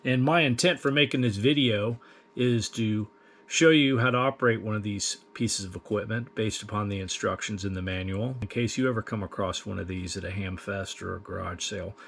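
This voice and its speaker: A man's voice: on the low side, a little fast, vocal fry, a bit bright, a little hiss or hum. A man giving a plain, methodical, step-by-step instructional demonstration in a matter-of-fact way. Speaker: host of radio equipment demonstration.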